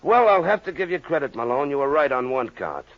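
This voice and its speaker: A man's voice: mid-range voice, big pitch swings, a little fast, highs cut off. A man playing an exasperated, sarcastic police lieutenant in an old-time radio crime drama, mocking and skeptical. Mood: smugly mocking.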